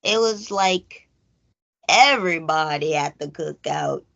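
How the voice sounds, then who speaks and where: A woman's voice: fairly low pitch, slow pace, fairly bright tone, dull audio. A woman co-hosting a movie recap show, chatting casually and rambling as she retells and acts out bits of dialogue.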